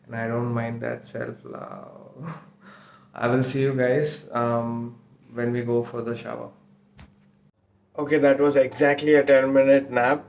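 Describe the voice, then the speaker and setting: A man with a Tamil accent talks to camera in a home vlog, pausing often with "uh" and "um" and restarting his sentences. His pitch stays flat and level at a middle height. The room around him is echoey. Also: creaky. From South India. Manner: hesitant, many fillers and restarts. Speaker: vlogger. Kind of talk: casual vlog talk to camera. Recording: roomy echo.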